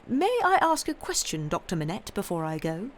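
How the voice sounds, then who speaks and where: A woman voicing a fierce, loyal older Englishwoman, talking briskly and emphatically, with her voice lifting high and loud. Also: sing-song, slight background noise. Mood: emphatic.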